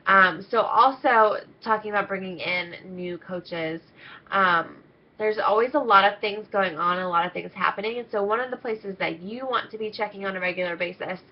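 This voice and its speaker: A woman's voice: medium pitch, normal speed. A woman coaching her team in a chatty, upbeat training talk, nasal and stuffed up with a cold. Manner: upbeat, chatty, many ums. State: congested, coming down with a cold. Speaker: team coach leading an internship. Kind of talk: casual video training talk. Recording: slightly dull lo-fi audio.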